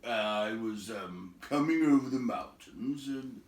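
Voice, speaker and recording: A man voicing a courteous, cautious old storybook wizard who speaks politely and haltingly. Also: mid-range voice. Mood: cautious, apologetic. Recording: echoey room, distant from mic.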